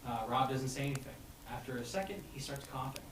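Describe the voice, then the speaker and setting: A man's voice: medium pitch, expressive, quick pace, fairly bright tone. A man reading stage directions as narrator of a live comedy table read. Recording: echoey, noisy room, distant mic.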